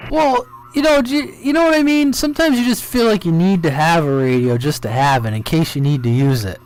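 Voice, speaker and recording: A man's voice: fairly high pitch, brisk, rough recording. A man chatting casually on CB radio. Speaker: CB radio operator. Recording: loud CB radio background noise.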